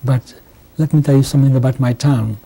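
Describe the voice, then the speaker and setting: A Hungarian-born man in his sixties recalls his life slowly, stopping and restarting his sentences. His voice is very dark and mellow. It stays flat and level, with little rise or fall. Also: medium pitch, gravelly. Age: 60s. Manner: halting, with many restarts and pauses. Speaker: interviewee. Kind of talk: oral history testimony. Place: home interview.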